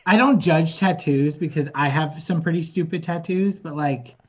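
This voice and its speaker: A man's voice: a little high, moderate pace, highs cut off. A man from Texas, a guest on a comedy podcast, telling casual, opinionated anecdotes.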